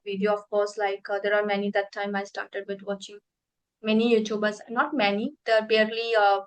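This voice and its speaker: Chatty Indian woman, slightly high voice. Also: rough recording. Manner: chatty, thinks aloud with many fillers. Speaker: host. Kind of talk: casual conversation practice.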